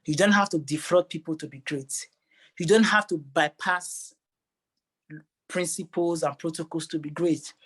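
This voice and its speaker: A man's voice: on the high side, fairly even intonation, measured pace, a bit bright, husky. A man with a Nigerian accent speaking earnestly and emphatically as a motivational interview guest, repeating phrases for effect.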